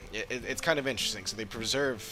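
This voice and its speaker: Man, game streamer, low voice, casual commentary, searching for words, prominent background music. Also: fast.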